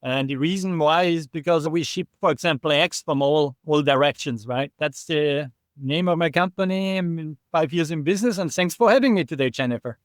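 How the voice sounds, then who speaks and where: Man, 40s, podcast guest, earnest explainer, crisp dry high voice. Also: normal speed, dry recording. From Austria. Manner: earnest, explanatory, with frequent restarts and "right?" tags. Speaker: podcast guest, app developer. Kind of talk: casual interview chat.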